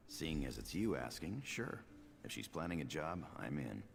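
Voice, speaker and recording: A man voicing a cocky, roguish thief in a video game, with a gravelly, breezy delivery. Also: a bass voice, sing-song, moderate pace, in a large hall, exaggerated voice. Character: roguish male thief who was freed from captivity. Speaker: game character.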